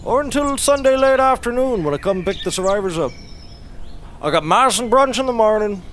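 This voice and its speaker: An actor playing a stubborn, folksy janitor with rustic turns of phrase in a scripted comedy. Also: male voice, sits high, animated, fairly quick, a bit bright, a little hiss or hum. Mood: gruff, threatening. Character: folksy, stubborn male janitor abandoning his captives.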